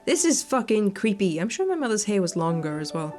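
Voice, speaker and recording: A woman's voice: mid-range voice, very animated, brisk, a little mellow. A woman hosting a gaming video, reading a horror story game aloud with unscripted reactions. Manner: sweary, unscripted asides. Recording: faint music behind the voice. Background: game music.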